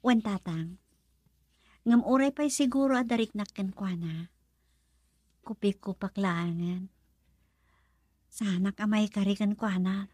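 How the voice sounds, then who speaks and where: A woman's voice: medium pitch, measured pace, pauses often, a little mellow, dry recording, clean studio sound, natural voice. A woman with an Ilocano Filipino accent acting in a radio drama as a modest, hesitant young working woman and respectful daughter. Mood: doubtful.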